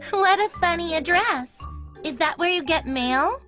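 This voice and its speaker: A woman voicing a sweet, curious, helpful little girl in an animated children's story, with an inquisitive, sympathetic manner. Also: extremely high pitch, a little slow, soft background music, exaggerated voice.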